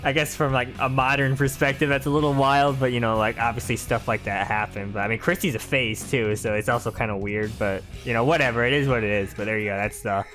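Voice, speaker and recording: Casting: male gameplay commentator, average pitch, laid-back and conversational, faint music behind. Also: steady tone, quick pace, hardly any pauses, fairly bright tone. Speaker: gaming video host.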